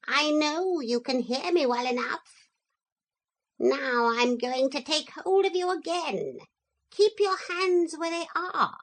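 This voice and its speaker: A woman's voice: sits high, lively intonation, slow pace, voice pushed up. A woman voicing a proud, courteous male parrot who talks in a polite, formal way while making cool threats.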